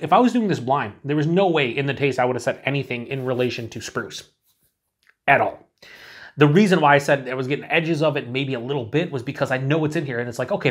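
Husky-voiced man reviewing a beer. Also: mid-range voice. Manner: chatty, thinking aloud with many fillers and restarts. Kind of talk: casual beer review to camera. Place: small indoor room with shelves of beer cans.

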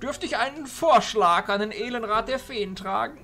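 A woman's voice: a little high, moderate pace, fairly bright tone, soft background music. A woman playing a dignified, grave male archchancellor with formal, courtly phrasing in an amateur audio drama.

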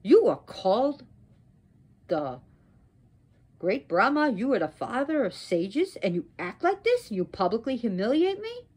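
A woman's voice: on the high side, animated, takes it slowly, pauses often, warm tone, slightly rough, heavily acted. A woman voicing a furious, imperious goddess in an oral myth telling, with an emphatic, indignant, declamatory delivery. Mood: scornful anger. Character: furious, imperious wronged goddess pronouncing curses. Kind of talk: oral mythological storytelling.

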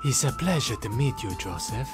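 A performer voicing a polite teenage boy in a comedic anime fan dub, understated and softly deadpan. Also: medium pitch, moderate pace, vocal fry. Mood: polite, bemused. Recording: background music behind the voice.

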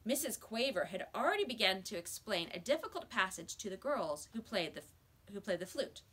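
Woman reading a children's story, medium pitch. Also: big pitch swings, brisk, fairly bright tone, husky. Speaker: teacher reading aloud. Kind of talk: children's storybook read-aloud. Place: small school office or library room, indoors.

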